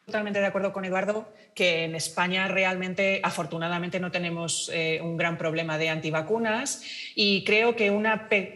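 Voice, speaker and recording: A woman's voice: mid-range voice, a bit bright. A woman researcher speaking over a video call from an office, explaining steadily. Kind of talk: remote panel contribution. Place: office with shelves.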